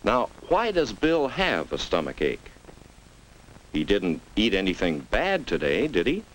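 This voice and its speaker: A man's voice: lowish voice, huge pitch swings, measured pace, rough recording. An American man narrating a scripted 1950s instructional film for children, teaching through storytelling and leading questions, on a vintage soundtrack. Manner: didactic, storytelling, with rhetorical questions.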